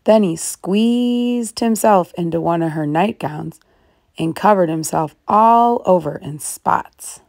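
Slow-paced woman reading a storybook. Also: on the low side, gravelly, darkish tone. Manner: deliberate, emphasizes and stretches key words. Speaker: storybook reader, teacher. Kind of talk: children's read-aloud narration.

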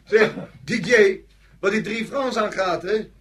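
A brash soldier in a war radio play. His voice is high for a man and his manner blunt and defensive. The recording has some background noise. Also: unhurried, a bit bright. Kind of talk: radio drama acting. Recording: noisy radio drama recording.

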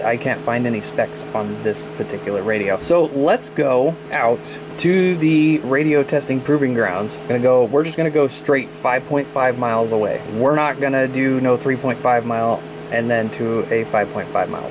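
A man's voice: medium pitch, noisy background. An American man in his thirties hosting a vlog, talking casually to his viewers. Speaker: vlog host reviewing radios.

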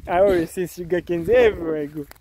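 Male vlog host, high voice, inquisitive and informal, light outdoor farm noise behind. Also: quick pace. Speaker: vlogger host. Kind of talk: casual walking-tour chat. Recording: noisy outdoor farm recording.

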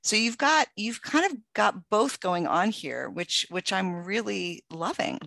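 A woman's voice: mid-range voice, animated, measured pace, creaky, sharp tone, no echo. A woman hosting a design podcast, thinking aloud in an engaged, affirming way. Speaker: podcast host. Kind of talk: casual interview conversation.

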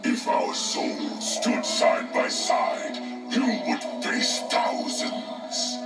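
A man's voice: extremely slow, loud music behind the voice. A man voicing a solemn undead warrior made of many souls, speaking formally and imperiously as one collective in a fighting game. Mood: cold, imperious. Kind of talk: fighting game character dialogue.

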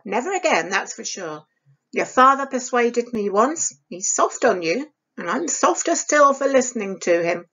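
A woman's voice: mid-range voice, fairly bright tone, slightly rough, highs cut off, full character voice. A woman voicing a stern, bitter stepmother in a storybook reading, harsh, reproachful and emphatic. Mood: angry, bossy.

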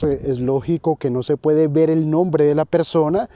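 Bright-voiced Colombian man explaining earnestly. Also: on the high side, steady tone, moderate pace, a little hiss or hum. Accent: Colombian Spanish. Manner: earnest, explanatory, addresses viewers directly. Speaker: finance vlogger. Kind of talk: direct-to-camera commentary. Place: outdoors by a lake in winter. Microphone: handheld camera close to face.

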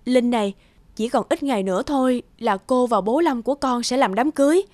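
Woman acting a gentle, high-voiced cartoon stepmother. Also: a little fast, dry recording, natural voice. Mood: gentle, pleading.

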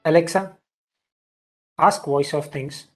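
A man's voice: fairly high pitch, takes it slowly, soft background music. A young man giving spoken commands to a voice assistant over a video call. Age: young adult. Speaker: product demonstrator. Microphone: video call from a laptop.

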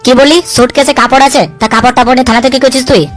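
A high, very fast-talking cartoon politician played by a woman, domineering and hot-tempered. He hectors and swears coarsely, throwing out threats and sharp tag questions, over faint music. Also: fairly even intonation, close to an everyday voice. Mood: suspicious. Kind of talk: comic cartoon dub dialogue.

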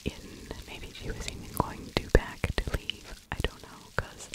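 Whispering woman, slow ASMR storyteller. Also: low-quality recording. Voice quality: breathy, unvoiced whisper. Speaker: ASMR true crime storyteller. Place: small indoor room. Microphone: large furry-windscreened mic, held very close to the mouth.

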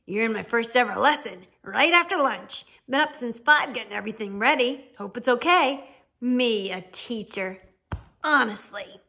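A woman voicing a huge, warm-hearted man who talks in eager, informal, clipped phrases, in a storybook reading. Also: on the high side, lively intonation, normal speed, creaky, exaggerated voice. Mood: eager, proud.

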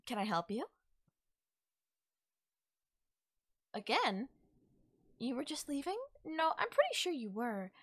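A woman voicing a playful, cryptic, teasing witch in an ASMR audio roleplay, coy and given to musing pauses. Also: sits high, no echo, clean studio sound. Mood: curious, helpful. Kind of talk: ASMR audio roleplay, acted straight to the listener.

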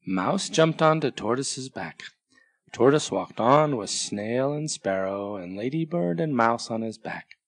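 A storyteller reading a children's picture book aloud in a measured, expressive way. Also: mid-range voice, no echo, studio quality. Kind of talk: scripted children's storybook narration.

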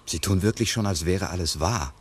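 Man acting a sceptical photographer, low voice, dry, teasing, precise, noisy old mono radio drama. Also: a little fast, lightly acted. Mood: wry, teasing. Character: sceptical, wry, inquisitive male photographer. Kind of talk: 1960s German radio play acting.

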